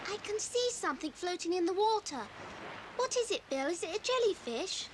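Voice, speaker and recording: High, earnest little girl. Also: animated, brisk. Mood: curious. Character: sweet, curious little girl, affectionate and questioning. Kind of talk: 1980s audio drama acting. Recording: noisy old cassette tape transfer.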